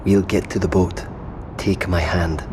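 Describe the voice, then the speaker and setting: An actor playing a steady, protective survivor in an audio drama, speaking plainly and reassuringly, often hushed. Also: male voice, sits low, unhurried, background noise. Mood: gentle urgency. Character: steady protective male survivor leading companions to a boat.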